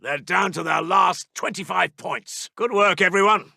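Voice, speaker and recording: A man with a British accent playing a brisk, stiff-upper-lipped Second World War army intelligence officer making formal announcements in a video game. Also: high-pitched, gravelly, sharp tone, no echo, studio quality, heavily acted. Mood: rousing, triumphant. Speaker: military announcer.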